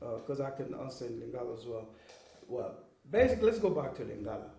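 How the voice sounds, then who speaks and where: A man's voice: mid-range voice, big pitch swings, fast talker, dark tone, slight background noise. A man from Congo, giving an earnest interview and often checking that the listener follows. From Congo (Kinshasa). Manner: earnest, checks in with "okay?" and "you know what I mean?". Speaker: interview guest. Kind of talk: explanatory interview talk. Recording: roomy echo.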